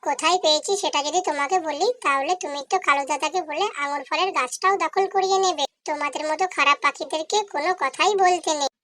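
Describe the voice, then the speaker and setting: Casting: woman to voice earnest little girl bird for kids' animation, high-pitched, childlike. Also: quick pace, few pauses, fairly bright tone. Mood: indignant. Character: earnest, hardworking young female little bird. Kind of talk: children's cartoon voice acting.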